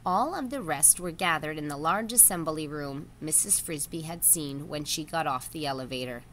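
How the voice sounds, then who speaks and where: A woman's voice: low voice, moderate pace, a bit bright, a little hiss or hum. A woman reading a children's novel aloud as a story reader, expressively voicing the dialogue within the narration.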